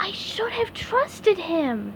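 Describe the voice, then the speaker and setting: A woman voicing an eager, excitable male sea sponge in a very high, squeaky voice as she reads a storybook. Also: takes it slowly, gravelly, a little hiss or hum, heavily acted, low-quality recording. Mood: tender. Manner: stammering and breathless.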